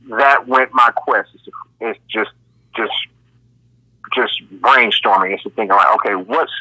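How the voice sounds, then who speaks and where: A man's voice: on the low side, sharp tone. A middle-aged American man from Ohio telling his story casually as an interview guest, rambling and repeating phrases, over what sounds like a phone call. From Cincinnati, Ohio, United States. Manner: rambling, repeats phrases, often says "you know".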